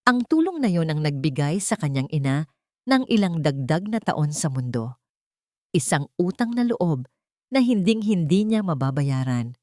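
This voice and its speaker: Low-pitched woman narrating a drama story. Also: sing-song, a little mellow, no room sound, studio quality. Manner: measured, dramatic storytelling in short phrases. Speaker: narrator of romance drama stories.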